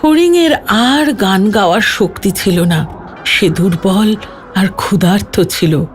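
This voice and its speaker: A woman reading a scripted children's story as narrator, with an expressive storytelling delivery. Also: medium pitch, unhurried, soft background music.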